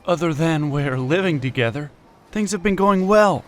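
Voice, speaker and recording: A man's voice: on the high side, expressive, moderate pace, lightly acted. A man playing an affectionate, teasing man in his early twenties in an audio drama, with an easygoing manner. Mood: friendly, content. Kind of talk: audio drama acting of fan fiction.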